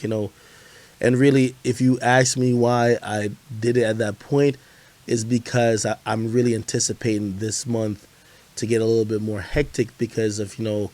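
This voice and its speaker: A man's voice: fairly low pitch, flat delivery, normal speed, a little mellow. A man streaming hip-hop commentary, talking in loose, profane slang and often repeating phrases as he answers viewers. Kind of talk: casual livestream chat answering viewer questions.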